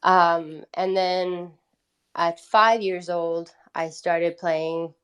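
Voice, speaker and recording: Lower-pitched woman from Italy, chatting casually. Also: flat delivery, extremely slow, smooth. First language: Italian. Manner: informal, peppers talk with "like". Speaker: podcast guest, musician. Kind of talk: casual interview chat.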